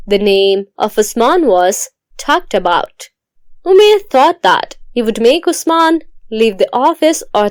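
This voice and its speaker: High, lively woman storyteller. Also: clear, resonant tone, dry recording, clean studio sound. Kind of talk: scripted narration of a moral story.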